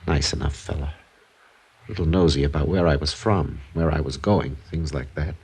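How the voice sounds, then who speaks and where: An American actor playing a weary, bitter, soft-mannered ex-con drifter near sixty in a 1950s radio crime drama. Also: male voice, extremely low pitch, normal speed, breathy edge, heavily acted. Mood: weary recounting.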